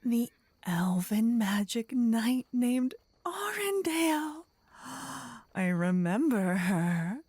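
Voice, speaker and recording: A woman's voice: mid-range voice, mellow, no room sound. A woman voicing a possessive, teasing demon queen in a fantasy ASMR audio roleplay, theatrical and gloating.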